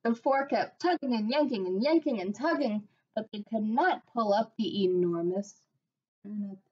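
A woman in her thirties, a teacher, reading a picture book aloud on a webcam at home in a slow, expressive voice. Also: medium pitch, breathy edge. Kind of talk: storybook read-aloud on webcam. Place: small room at home. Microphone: webcam at desk. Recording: dull lo-fi webcam audio.

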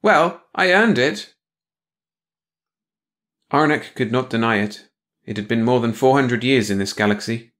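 A man's voice: mid-range voice, fairly even intonation, fairly quick. A man reading a scripted science fiction story as an audiobook narrator, in bedtime read-along style.

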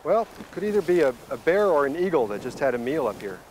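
A man's voice: a little high, very animated, fast, darkish tone, slight background noise, low-quality recording. A middle-aged man working as a wilderness guide, explaining things in a matter-of-fact, instructive way while hiking outdoors. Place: outdoors in open coastal tundra, on the move.

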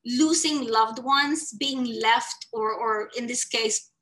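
A woman's voice: a little high, slow. A woman in her forties from the Philippines, speaking earnestly about her life at an online summit, recorded on a webcam in a small room. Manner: earnest, expressive, gestures with her hands. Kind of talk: personal story talk. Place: small indoor room against a plain wall.

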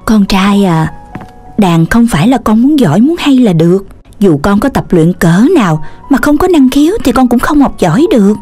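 A woman voicing a patient mother animal in a children's cartoon, consoling and instructive in a gentle way. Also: mid-range voice, very animated, normal speed, faint music behind.

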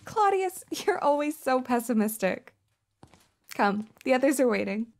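A woman playing a cheerful, curious high school girl in an audio drama. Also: big pitch swings, measured pace, heavily acted. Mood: bright, friendly. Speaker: voice actor.

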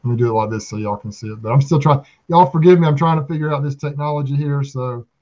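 A man's voice: medium pitch, fast talker, mellow. A man in his fifties with a Southern American accent, chatting casually and telling folksy stories on a video call. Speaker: beekeeper and video channel host. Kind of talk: casual chat. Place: small room at home, video call. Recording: slightly dull video-call audio.

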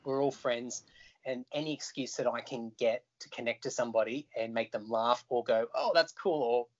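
An Australian man, a musician guest, telling stories in an animated, chatty way over a video call. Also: mid-range voice, moderate pace. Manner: animated, chatty storyteller who laughs easily. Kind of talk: casual video call chat. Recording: dull lo-fi call audio.

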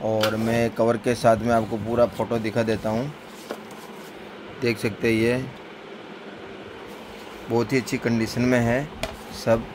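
A man's voice: medium pitch, level tone, a little slow, long pauses, noisy background. A man casually narrating a handheld product showcase indoors on a phone, in plain, repetitive sales patter. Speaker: record seller showing his collection.

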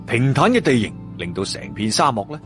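A man with a Hong Kong Cantonese accent narrating a fantasy story in a dramatic, expressive, colloquial way with drawn-out particles. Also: mid-range voice. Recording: music behind the voice.

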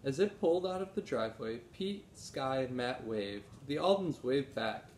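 Man, thirties, expressive storybook read-aloud, medium pitch, echoey room, faint rumble. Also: normal speed. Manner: expressive, acts out dialogue. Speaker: teacher reading aloud to pupils. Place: small room at home. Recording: roomy echo, low rumbling noise.

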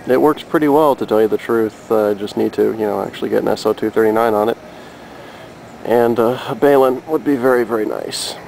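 A man with a fairly low voice full of gravelly fry talks casually into a handheld camera outdoors. He rambles a little, hesitating and thinking aloud. A faint noise sits behind his voice. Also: brisk, a little mellow. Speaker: amateur radio hobbyist filming his gear. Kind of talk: casual unscripted demonstration. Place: outdoors in a yard. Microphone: handheld camera microphone. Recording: noisy handheld camera with background hum.

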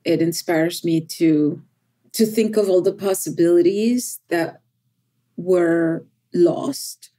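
A woman's voice: on the low side, slow, mellow. A middle-aged woman from El Salvador, a poet whose first language is Spanish, speaking thoughtfully in an interview and often adding "right?". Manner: thoughtful, repeats words, often adds "right?". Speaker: poet, podcast guest. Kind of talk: reflective interview conversation.

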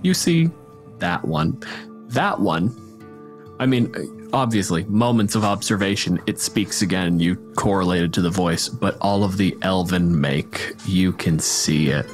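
Low-voiced male game master over music. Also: unhurried. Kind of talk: narrating a tabletop role-playing game. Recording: music bed under the voice. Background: background music.

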